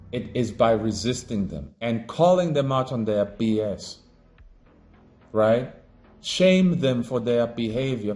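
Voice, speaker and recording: A man from Africa giving an impassioned monologue as an online commentator, preachy and emphatic, swinging between raised declarations and low muttering. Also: a little mellow.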